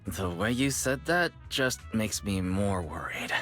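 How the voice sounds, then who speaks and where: A man's voice: on the low side, expressive, measured pace, fairly bright tone, faint music behind. A man voicing a wry, easygoing young man in a game cutscene.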